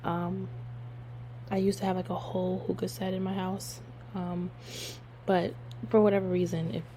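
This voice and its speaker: Hoarse woman podcast host, low flat voice. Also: normal speed, slight background noise. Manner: rambling, filler-heavy, relaxed. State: hoarse, losing her voice. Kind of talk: casual solo podcast chat.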